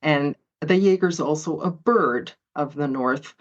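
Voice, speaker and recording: A woman with a very low-pitched voice talks casually as an interview guest, chatty and quick to laugh, with plenty of ums along the way. The recording sounds dull and lo-fi. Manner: chatty, laughs often, hesitant fillers. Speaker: author guest. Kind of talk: conversational interview.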